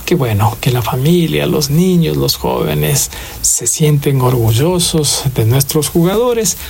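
A man's voice: a little high, expressive, measured pace, creaky, darkish tone, husky. A man with an Ecuadorian accent hosting a radio music show, greeting listeners and introducing songs in an effusive, sentimental, ornate way.

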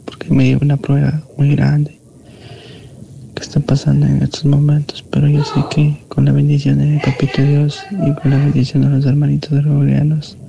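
A man's voice: on the high side, monotone, moderate pace, vocal fry. A man from Ecuador, calling in to give an earnest, grateful testimony that slips at times into whispers. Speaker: caller giving a testimony. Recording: dull phone line with background noise.

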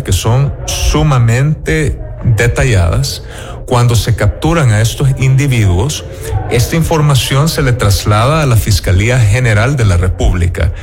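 A man's voice: medium pitch, darkish tone. A man with a Salvadoran accent, an interview guest making assertive political arguments. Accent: Salvadoran Spanish.

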